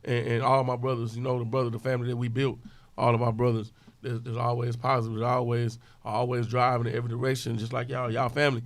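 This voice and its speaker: A man's voice: medium pitch, completely flat delivery, quick pace, no room sound. A man in his forties from with a drawl, talking earnestly and emphatically as a podcast guest in casual street slang. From Houston, Texas. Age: 40s. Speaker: podcast guest, club owner. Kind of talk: casual conversation.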